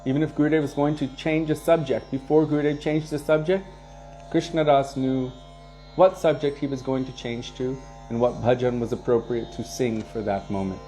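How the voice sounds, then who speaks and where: A man leading a devotional program, casually answering viewer questions and sharing anecdotes in a relaxed way, chuckling now and then. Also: medium pitch, moderate pace, soft background music. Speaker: kirtan leader and devotional teacher.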